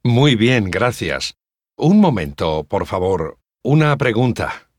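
An actor playing a courteous adult male teacher in a language-course dialogue, speaking slowly, clearly and politely. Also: on the low side, very animated, no echo, studio quality, full character voice. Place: dry studio.

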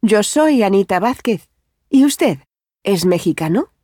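An actress playing a friendly adult woman who works at a bank, speaking clearly and without hurry in language-course dialogues. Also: medium pitch, lively intonation, measured pace, mellow, dry recording, clean studio sound. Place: dry studio.

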